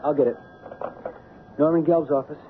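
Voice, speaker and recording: A man's voice: on the high side, steady tone, normal speed, a little hiss or hum, lightly acted. An actor playing an earnest Broadway producer's assistant, telling his story conversationally in an old-time radio drama. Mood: puzzled. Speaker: actor and narrator. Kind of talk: old-time radio drama acting, first-person narration. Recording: narrow-band old radio with loud music.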